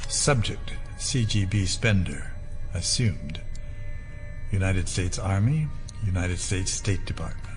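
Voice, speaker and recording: An actor playing an older, secretive conspirator in an audio drama, musing alone in terse, deliberate, dismissive fragments, with music behind. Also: male voice, low voice, lively intonation. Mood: brooding. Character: brooding, secretive older male conspirator musing over files.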